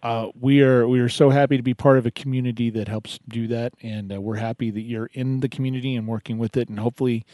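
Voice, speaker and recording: A man's voice: mid-range voice, warm tone, no echo, clean studio sound. A man hosting a radio talk show, chatting casually and encouragingly with a caller, rambling a little as he gives advice. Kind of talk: casual on-air chat with a caller.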